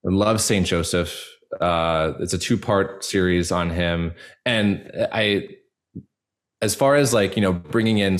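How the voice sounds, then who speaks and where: A man speaking casually as a podcast guest, halting and informal, with an enthusiastic manner. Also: deep voice. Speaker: podcast guest, actor and audio drama producer. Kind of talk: casual interview chat.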